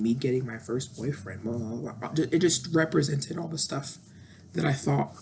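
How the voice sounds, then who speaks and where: A woman's voice: extremely low pitch, vocal fry, darkish tone, a little hiss or hum. A woman in her thirties talking candidly and introspectively in a reflective personal monologue, with frequent fillers. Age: 30s. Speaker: vlogger.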